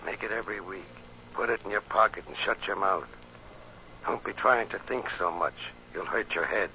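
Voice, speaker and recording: Flashy radio-drama gang boss: low, hard-edged, sneering menace, slangy, on a noisy old recording. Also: male voice, moderate pace, gravelly, pushed and bright, lo-fi sound, heavily acted. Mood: threatening, scornful. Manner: sneering slangy tough-guy talk. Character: smug, menacing flashy male racketeer boss.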